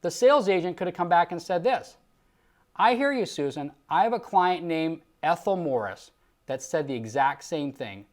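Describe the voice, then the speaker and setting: A man's voice: a little high, expressive, normal speed. A man working as a sales trainer, giving instructional commentary in an emphatic, rhetorical coaching style and acting out example lines. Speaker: Medicare sales trainer and host.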